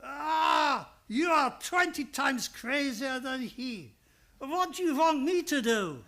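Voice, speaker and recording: Guy doing a old professor in radio play, fussy n shrewd, slow broken english, pitch bobs all over. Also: expressive, sharp tone, full character voice. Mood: exasperated. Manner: broken English with dropped articles, deliberate. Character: elderly male professor, fussy, shrewd, affectionately exasperated. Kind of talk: old-time radio drama acting.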